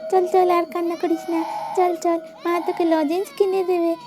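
A grieving madwoman, voiced by a woman in a storybook audio drama, high and pleading, her tearful calls pitched even higher than the narrator's. Her voice is mellow and soft in tone, with gentle music running underneath. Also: normal speed. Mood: tearful urging. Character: grieving madwoman who thinks a stranger's girl is her lost daughter.

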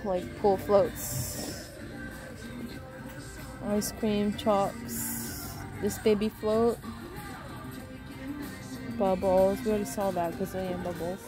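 A woman's voice: medium pitch, level tone, takes it slowly, pauses often, music in the background, rough recording. A woman vlogging a casual shopping trip through a home goods store, pointing out items and reading prices aloud. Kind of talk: casual shop-with-me narration.

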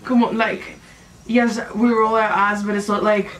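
A woman's voice: medium pitch, level tone, moderate pace, noisy background. A young woman from Russia with a Russian accent, reacting on camera in a small room with casual, sarcastic commentary. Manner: exasperated, sarcastic, laughs often. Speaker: vlogger. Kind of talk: reaction video chat.

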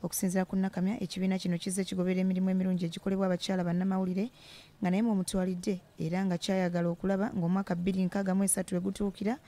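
Woman reading tv news, flat even delivery, mellow tone. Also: mid-range voice. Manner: brisk, steady newsreader delivery. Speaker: news reporter. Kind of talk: scripted news voice-over.

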